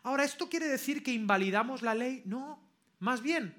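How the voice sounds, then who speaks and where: A preacher delivers a sermon, swinging between hushed asides and emphatic declarations and often checking in with listeners through rhetorical questions. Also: high-pitched, lively intonation, normal speed. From Europe. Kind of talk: sermon with scripture reading and questions to the congregation.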